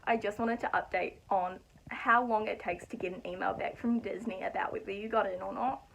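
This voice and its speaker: A woman's voice: mid-range voice, steady tone, a little fast, gravelly, highs cut off, a little hiss or hum. A young woman from New Zealand with a New Zealand accent, casually explaining a process to her vlog viewers in a chatty, practical way. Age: young adult. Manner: chatty and practical, with light laughs. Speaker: vlogger.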